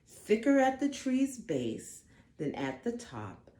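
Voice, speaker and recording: A woman reading a picture book aloud to children, with expressive, deliberate emphasis on the words. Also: on the high side, echoey room. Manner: dramatic, deliberate emphasis for young listeners. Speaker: storybook reader for children.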